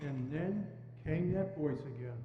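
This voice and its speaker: A man's voice: on the high side, unhurried. A man reading scripted dramatic narration as a storyteller. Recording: muffled, echoey hall, hum.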